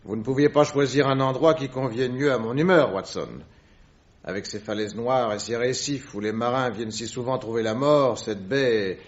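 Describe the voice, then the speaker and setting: A man's voice: mid-range voice, normal speed, close to an everyday voice. A man playing a moody, sardonic detective in a 1950s radio drama, on a dull vintage recording. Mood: gloomy.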